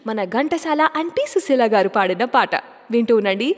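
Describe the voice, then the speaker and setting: A woman radio jockey chats with her listeners and keeps asking them questions. Her voice sits fairly high and swings widely in pitch. A long reverb trails behind her words. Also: animated, moderate pace, a little mellow. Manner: chatty, asks listeners questions. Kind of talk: casual radio show hosting.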